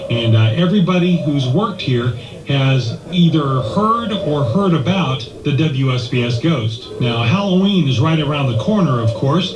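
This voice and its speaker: A man's voice: fairly high pitch, background noise. A man working as a radio DJ, narrating a scripted live report to listeners. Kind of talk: scripted radio broadcast narration with acted skit. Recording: echoey room, music behind.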